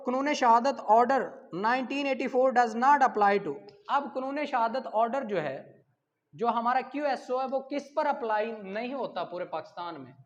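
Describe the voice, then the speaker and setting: A male teacher with a Pakistani accent and a notably high voice. He lectures in a didactic way, posing questions, answering them and repeating key terms. The recording carries a long, echoing reverb. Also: dull audio. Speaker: law teacher. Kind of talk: exam-prep lecture, reading questions and explaining.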